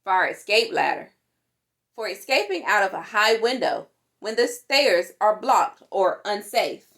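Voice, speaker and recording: A woman reading a children's safety book aloud in an animated, instructive manner. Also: mid-range voice, measured pace, creaky. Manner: animated, instructive, acts out sound words. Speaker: storytime reader.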